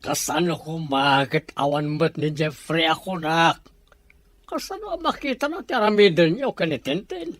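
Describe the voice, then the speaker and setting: A man's voice: fairly high pitch, expressive, normal speed, fairly bright tone, dry recording. A man acting as a doubtful husband who questions his wife's scheme in an audio drama. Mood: reproachful.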